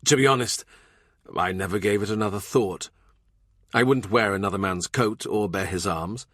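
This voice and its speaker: A man's voice: lowish voice, moderate pace, a bit bright, dry recording, studio quality. A man voicing a shrewd middle-aged royal minister, blunt and wry, in audiobook dialogue.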